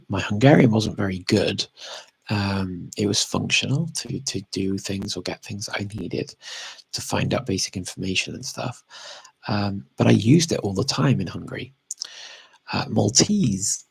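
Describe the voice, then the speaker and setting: A man's voice: lowish voice, vocal fry, a little mellow, husky, dry recording, low-quality recording. A man chatting casually and unscripted as he answers audience questions as a language expert, often hesitating and correcting himself as he thinks aloud.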